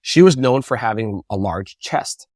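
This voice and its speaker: A man being interviewed, telling casual anecdotes and acting out quotes with animated energy. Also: on the low side, a little slow, dry recording, studio quality. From United States. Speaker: interview guest, bubble tea entrepreneur.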